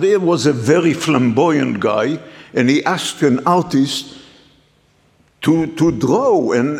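A man from the Middle East giving a guest lecture, hesitant and halting, with many fillers and restarts. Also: on the high side, a little mellow. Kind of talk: academic lecture with slides. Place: lecture hall. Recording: reverberant lecture hall.

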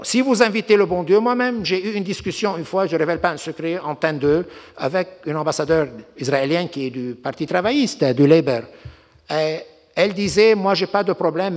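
A man's voice: a little high, darkish tone. Older man in a reverberant hall. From Lebanon. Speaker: diplomat giving a talk. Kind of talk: unscripted geopolitical lecture with anecdotes.

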